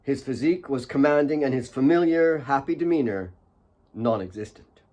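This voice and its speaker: A man's voice: mid-range voice, moderate pace, mellow. A man reading his own fiction as a scripted, dramatic audiobook narration.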